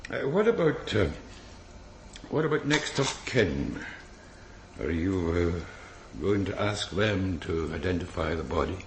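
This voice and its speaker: An actor playing a blunt, world-weary police pathologist in a radio crime drama. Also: male voice, low voice, very animated, exaggerated voice. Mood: clinical, blunt. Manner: blunt, dry, hesitant pauses. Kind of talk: naturalistic radio drama acting. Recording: roomy echo, faint background noise.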